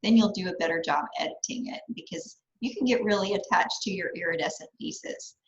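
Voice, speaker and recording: A woman with a medium-pitched voice gives conversational advice as a podcast panelist. The recording is somewhat dull, and the room echoes noticeably around her. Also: fairly quick. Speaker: podcast panelist and fiction writer.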